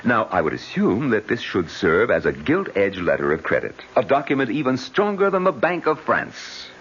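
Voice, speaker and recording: A man's voice: fairly low pitch, wildly swooping pitch, normal speed, fairly bright tone. A man playing a proud, indignant French viscount with a reckless gambling habit, in a haughty, theatrical old-time radio drama style. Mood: smug. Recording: muffled old radio recording, faint noise.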